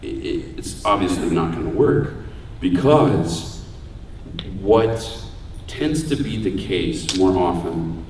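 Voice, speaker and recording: A deep-voiced man talks to a convention crowd in an easy, joking way. His voice sits low, and it rings through a big echoey hall. He sounds set back from the microphone. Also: expressive, takes it slowly, a little mellow, slight background noise. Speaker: voice actor giving a panel. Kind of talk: convention panel talk. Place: large convention hall room. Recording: echoey hall, voice far from mic.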